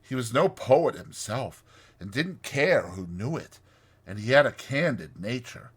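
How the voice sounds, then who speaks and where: A man reading a classic storybook as an audiobook narrator, in an expressive scripted style. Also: mid-range voice, unhurried, breathy edge.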